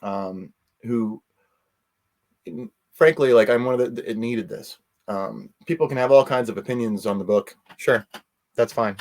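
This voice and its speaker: A man's voice: mid-range voice, a little slow, a little mellow. Casual, rambling man in an interview. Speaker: interview guest.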